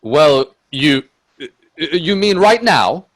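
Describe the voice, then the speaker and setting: Nervous polite gentleman, skeptical, stammers a lot, pitch all over, radio play, dry sound. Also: lively intonation, drawn-out words, dry recording, heavily acted. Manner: stammering, nervous chuckles, deferential. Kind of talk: radio play acting with narration.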